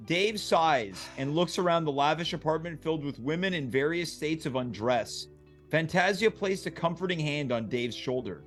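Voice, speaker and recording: A man with a fairly high voice narrates stage directions for a comedy table read. His delivery is scripted narration, with faint music behind. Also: animated, moderate pace, fairly bright tone.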